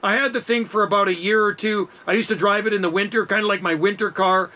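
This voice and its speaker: A man's voice: high-pitched, steady tone, fast, pushed and bright. A man in his fifties chatting casually over two-way radio as an amateur operator, enthusiastic and easygoing, with plenty of slang. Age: 50s.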